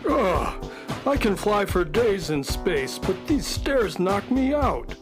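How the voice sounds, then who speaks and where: A man voices a talking space horse, a friendly sidekick who likes to grumble. The delivery is lively and indignant, with light music behind it, in a children's radio play. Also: high voice, takes it slowly, heavily acted. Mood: weary, grumbling. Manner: expressive, indignant. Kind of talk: children's radio drama acting.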